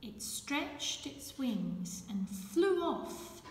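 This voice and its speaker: Casting: female storyteller, unhurried and gentle, slightly high voice, reading for small children in a reverberant room. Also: very animated. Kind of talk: reading a children's picture book aloud. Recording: echoey room with background noise.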